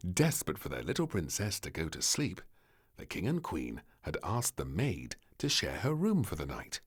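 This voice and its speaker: A man narrating a children's storybook in an expressive, theatrical and measured way. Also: deep voice, slightly rough.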